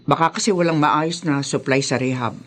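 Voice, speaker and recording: An actress playing a stern, devoted older mother with a Filipino accent in a Tagalog radio drama, emphatic and deliberate. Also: deep voice. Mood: firm, practical.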